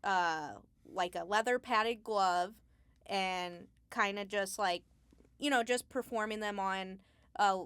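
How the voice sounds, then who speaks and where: A woman speaking casually as an interview guest, explaining her craft in a halting, thinking-aloud way. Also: medium pitch, steady tone, fairly bright tone, clear, resonant tone, no echo. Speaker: Foley artist, interview guest.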